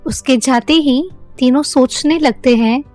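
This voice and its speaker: A woman narrates a scripted story for children. Her voice is high-pitched, and soft music plays behind it. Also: measured pace.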